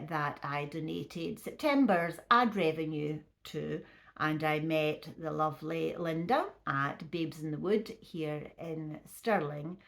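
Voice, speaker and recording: Slow, low-voiced, slightly croaky woman in her fifties. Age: 50s. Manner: chatty, with frequent restarts and ums. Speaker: vlogger. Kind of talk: casual talking to camera.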